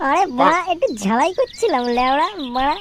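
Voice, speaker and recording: A woman plays a brash, boozy little rascal from a comic cartoon, pitched very high. The talk is crude and slangy. A faint layer of noise sits behind the voice. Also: very animated, fairly quick. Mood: defiant.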